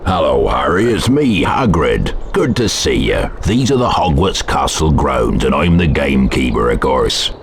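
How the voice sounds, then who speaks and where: Big friendly video game gamekeeper, extremely deep voice, lively intonation, folksy talk, noisy recording with ambience. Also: male voice, measured pace, vocal fry, few pauses, exaggerated voice. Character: big hearty male gamekeeper of a magic school.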